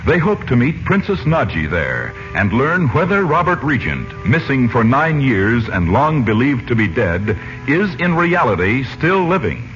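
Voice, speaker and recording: A man's voice: mid-range voice, very animated, unhurried, few pauses, fairly bright tone. A man announcing and narrating a 1940s radio serial from a script in a formal, theatrical, emphatic style. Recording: muffled vintage 1940s radio recording. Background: music.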